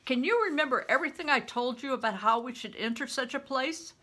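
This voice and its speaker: A woman voicing a big, gruff, commanding man, a traveling performer in a storybook reading, who speaks in blunt orders and oaths. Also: high-pitched, a little fast, pushed and bright, pitched above the performer's own voice. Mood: instructing, cautious. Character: big, gruff, commanding middle-aged male traveling mummer.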